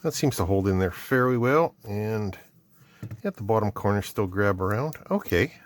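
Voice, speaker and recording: A man's voice: fairly low pitch, lively intonation, moderate pace, dry recording. A middle-aged man from Canada casually chatting as he opens and tries out items from his mail, thinking aloud as he goes. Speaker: vlogger opening mail. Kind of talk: casual unboxing chat.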